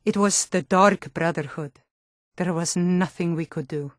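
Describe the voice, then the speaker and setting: A woman's voice: fairly low pitch, a little slow, dry recording, studio quality, lightly acted. A woman playing an earnest, hardy Nord townswoman and guard, delivering short, weighty lines for a fantasy video game. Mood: troubled.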